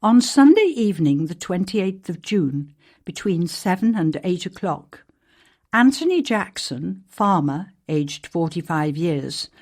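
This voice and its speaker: A woman narrating an archaic newspaper account as a ghost story, in a measured, formal storytelling manner. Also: on the low side, very animated, darkish tone. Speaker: actor reading a historical newspaper article as a ghost story.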